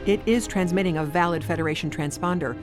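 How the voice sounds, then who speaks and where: A woman's voice: fairly low pitch, fast, over music, natural voice. A woman playing a cool, logical starship science officer, precise and formal, in an audio drama. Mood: precise, reporting.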